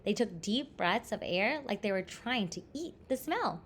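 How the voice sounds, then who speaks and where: A woman reading a children's picture book aloud expressively, with deliberate phrasing and stressed words. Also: mid-range voice, lively intonation, husky. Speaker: storybook reader.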